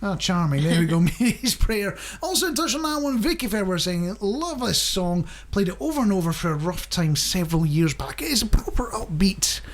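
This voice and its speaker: A man's voice: high-pitched, expressive, normal speed, hardly any pauses. A man presenting a radio show in a chatty, rambling, jokey way, speaking directly to the listener.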